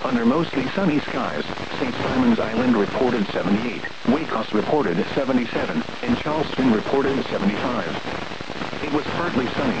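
A man's voice: mid-range voice, creaky, dull audio. A synthetic American man's voice reading a scripted weather bulletin over radio, formal and clipped in short phrases. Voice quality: synthetic text-to-speech voice. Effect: radio broadcast. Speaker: automated weather radio announcer. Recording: static-laden weak-signal weather radio reception. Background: radio static.

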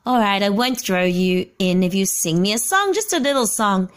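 Woman doing a gruff, snarling old wild dog. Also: medium pitch, animated, normal speed, natural voice. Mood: sly.

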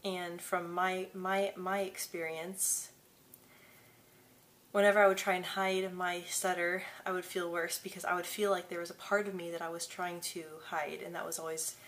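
A woman's voice: lowish voice, little pitch movement, moderate pace, fairly bright tone. A young woman in her twenties talking casually to camera in a small bedroom, stuttering with many repeated syllables and blocks. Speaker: vlogger. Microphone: camera mic at desk distance.

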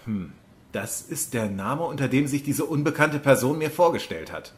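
A man's voice: medium pitch, lively intonation, moderate pace, lightly acted. Evasive, weary male doctor, acted by a man. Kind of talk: audio drama acting.

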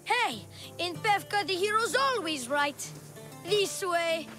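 High-voiced cheeky young boy hustler. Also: expressive, slow, bright, forceful tone, faint music behind, exaggerated voice. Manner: glib salesman patter, feigned innocence. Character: cheeky young boy tour guide, sly con artist. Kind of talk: video game character acting.

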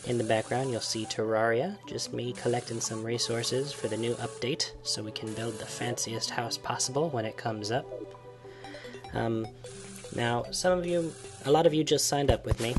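A man, sick and croaky, casually giving a channel update as a gaming host. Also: medium pitch. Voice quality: creaky. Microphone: desktop microphone.

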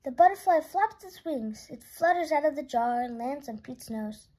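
A woman with a high, lively voice reads a picture book aloud. Her delivery is expressive and swings up and down, with some words drawn out for little kids. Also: normal speed. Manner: expressive, stretches words for young listeners. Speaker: children's book reader.

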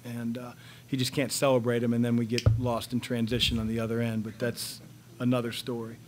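Halting, flat-toned coach at a press table. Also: male voice, mid-range voice, noisy background. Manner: measured, halting, many fillers and restarts. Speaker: head basketball coach. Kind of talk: post-game press conference answers. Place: press room in front of a sponsor backdrop. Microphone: table microphone on a stand.

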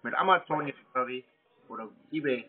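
Husky, quick deadpan man on the phone. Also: mid-range voice, soft background music. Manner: deadpan, terse. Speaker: prank host answering scam calls. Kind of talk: casual phone chat, baiting the caller. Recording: tinny narrowband phone line.

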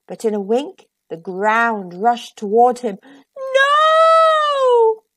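A woman reading a children's picture book aloud with expressive narration as a storytime host. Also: medium pitch, unhurried.

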